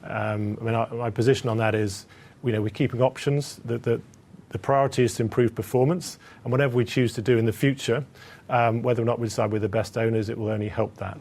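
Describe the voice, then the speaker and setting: A man speaking as a company executive, answering analysts on an earnings call in a hesitant, halting, measured way. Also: mid-range voice, steady tone, a little mellow, a little hiss or hum.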